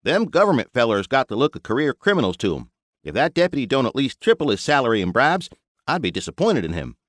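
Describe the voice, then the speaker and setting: A man's voice: mid-range voice, very animated, fast talker, no room sound, studio quality, pitched above the performer's own voice. A man voicing a blunt, bearded male mechanic with a folksy rural drawl. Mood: skeptical.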